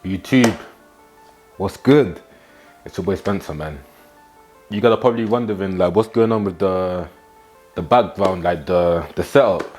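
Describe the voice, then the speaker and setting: A man's voice: sits low, normal speed, pauses often, a little mellow, soft background music. A nineteen-year-old man, talking straight to camera with blunt, slangy motivation and repeated catchphrases, filmed in a small room at home. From England. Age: teens. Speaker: fitness and self-improvement vlogger.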